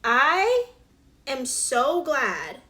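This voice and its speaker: A woman's voice: high voice, huge pitch swings, very slow, bright, forceful tone. A woman, chatting casually while doing her makeup, with lots of fillers and the odd whispered aside. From Alabama. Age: 20s. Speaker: beauty vlogger. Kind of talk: casual get-ready-with-me chat.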